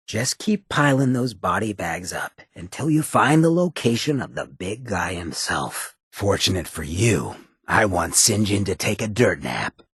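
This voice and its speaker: An actor voicing a sly, swaggering outlaw mayor in a video game, with a gravelly rasp and cocky, streetwise, slangy drawl. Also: male voice, on the low side, fairly bright tone, no echo, clean studio sound, full character voice. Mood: sly, conspiratorial. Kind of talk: video game companion dialogue.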